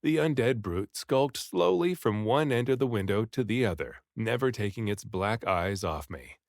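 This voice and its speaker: Male audiobook narrator, highly varied pitch, dramatic delivery, very dry studio-like sound. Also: moderate pace, dry recording. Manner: dramatic, animated delivery with acted dialogue. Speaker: read-aloud narrator and voice actor. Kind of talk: expressive first-person audiobook storytelling.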